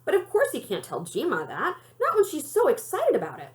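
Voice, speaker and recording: A woman reads a children's novel aloud as a librarian would for young listeners. Her voice is on the high side and very animated in pitch. The delivery is expressive storytelling narration. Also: a little fast.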